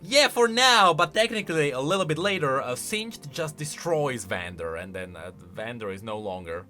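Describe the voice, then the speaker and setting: Chatty guy reacting to game lore, bright forceful voice, really animated, little bit of music behind. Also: mid-range voice, moderate pace. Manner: informal, opinionated, chatty. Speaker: video game lore commentator. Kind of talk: casual reaction commentary.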